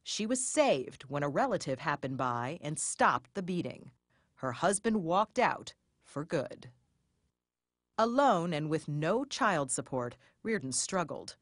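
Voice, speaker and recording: A woman correspondent narrating a scripted television news feature in a lively, expressive way. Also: low-pitched, takes it slowly, no echo, clean studio sound. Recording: music bed underneath.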